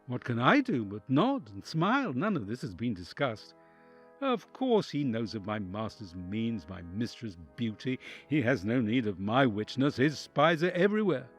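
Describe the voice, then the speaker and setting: Conscience-torn envoy for audio drama , male actor: husky, widely varied pitch, anguished and introspective narration. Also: moderate pace, soft background music. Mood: tense, watchful. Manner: literary, expressive, introspective.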